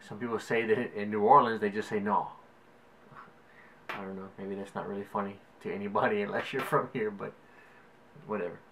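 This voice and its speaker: A man's voice: on the low side, steady tone, brisk, fairly bright tone, rough recording. A young man from South Louisiana with a Cajun accent, rambling casually through a word list in a vlog, with lots of "like" and "um". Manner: rambling, hedging with "like" and "um". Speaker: vlogger.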